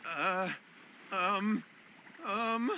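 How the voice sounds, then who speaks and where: A man's voice: high voice, extremely slow, sharp tone, a little hiss or hum, exaggerated voice. A man playing a cowardly, guilt-ridden young man in a video game, nervous, pleading and stammering. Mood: flustered. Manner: stammering, panicky.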